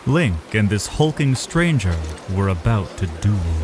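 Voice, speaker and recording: A man's voice: on the low side, very animated, unhurried, over music. A man giving dramatic scripted narration of a fantasy adventure. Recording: noisy ambience behind the voice.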